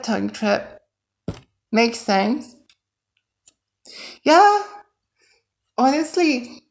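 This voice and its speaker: A woman who grew up speaking Persian talks to her stream viewers with a Persian accent. Her voice sits at an average female pitch and she takes her time. The style is casual coding chat, as she reasons out loud while she works. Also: creaky. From Iran. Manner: thinks aloud, talking to viewers. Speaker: livestreamer and game modder.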